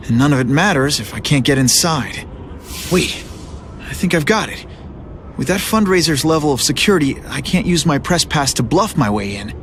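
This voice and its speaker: A man's voice: mid-range voice, a little hiss or hum, close to an everyday voice. An American man playing a chatty, quippy, slightly awkward young superhero in a video game cutscene. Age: young adult. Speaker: part-time newspaper photographer. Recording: music behind the voice.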